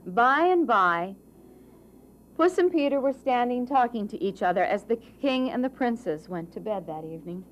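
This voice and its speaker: A woman narrating a children's storybook tale for a puppet show with measured, dramatic phrasing. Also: on the high side, expressive, slight background noise.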